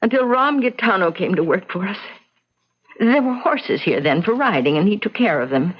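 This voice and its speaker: A frightened little housekeeper in a vintage radio drama. She speaks anxiously and haltingly, with superstitious sorrow. The sound is dull and lo-fi, like an old broadcast. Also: low-pitched. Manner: anxious, halting, repeats words, exclaims "Oh".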